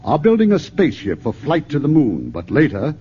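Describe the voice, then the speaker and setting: A man hosting a 1950s radio theater show with a smooth, announcer-like delivery. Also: on the high side, huge pitch swings, fairly quick, dark tone, a little hiss or hum. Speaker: radio host. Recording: dull old radio broadcast.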